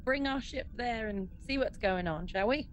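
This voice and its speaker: A woman's voice: a little high, moderate pace, slight background noise. A woman playing a tabletop game, chatting casually and playfully as she improvises. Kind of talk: casual improvised role-play chat.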